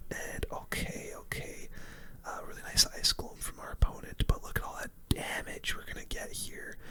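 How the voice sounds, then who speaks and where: A gaming host giving relaxed, casual ASMR commentary on a match in a soft, breathy whisper, full of gamer slang.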